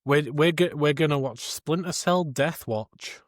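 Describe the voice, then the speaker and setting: A male podcast co-host with a somewhat high voice for a man. He chats informally and jokily about films, recorded close with very little room sound. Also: unhurried, dry recording. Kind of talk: casual film review chat.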